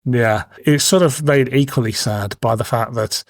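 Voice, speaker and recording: A man with a medium-pitched voice hosts a casual review show. He talks conversationally, as with a co-presenter. His voice is recorded dry, without any echo. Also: normal speed. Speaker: podcast host.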